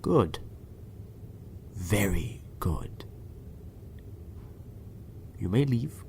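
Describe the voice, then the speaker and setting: Man as a slow, sinister, condescending doctor. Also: lowish voice, big pitch swings, a little mellow, slight background noise, full character voice. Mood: quiet threat. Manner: smooth, condescending, dropping into menacing murmurs. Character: sinister, politely menacing doctor in command. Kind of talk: audio drama acting.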